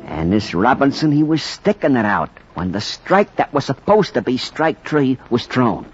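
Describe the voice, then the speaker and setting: An actor playing a folksy storyteller narrating a baseball ballad, rhythmically, in a 1940s radio play. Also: male voice, medium pitch, sing-song, normal speed, over music, natural voice. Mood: jaunty, suspenseful. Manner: folksy, rhythmic, dropped endings. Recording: muffled, hissy 1940s radio recording.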